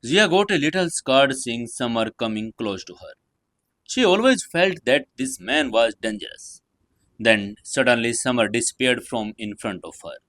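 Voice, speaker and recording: A man's voice: medium pitch, animated, normal speed, fairly bright tone, dry recording. A man narrating a dramatic serial novel as its host, in non-native English with occasional slips and hesitations. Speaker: audio story narrator and channel host.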